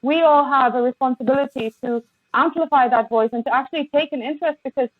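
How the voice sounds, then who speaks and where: A woman guest on a podcast, speaking with passion and many halting restarts over a remote call. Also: sits high, dry recording. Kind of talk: impassioned conversation. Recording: tinny phone-like line, dry.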